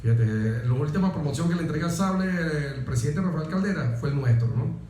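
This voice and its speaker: Man, Venezuelan accent, middle-aged, dark relaxed timbre, conversational storytelling, emphatic repetition, strongly reverberant room. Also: on the high side, a little fast. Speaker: interview guest, military officer. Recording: very echoey room.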